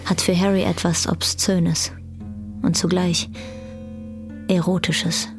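A woman narrating a scripted German radio drama in a cool, deliberate manner. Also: fairly low pitch, level tone, music in the background.

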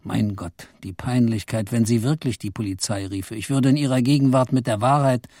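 An actor narrating a radio play in the first person as a brooding husband, reflective and intimate. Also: male voice, medium pitch, moderate pace, dark tone, dry recording, clean studio sound, close to an everyday voice. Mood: musing. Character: brooding husband narrating his own scheme inwardly.

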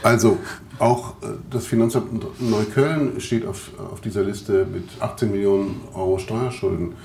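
A man working as a journalist, asking questions in a conversational interview and feeling his way through them haltingly. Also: fairly low pitch, steady tone, darkish tone. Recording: roomy, noisy on-location interview.

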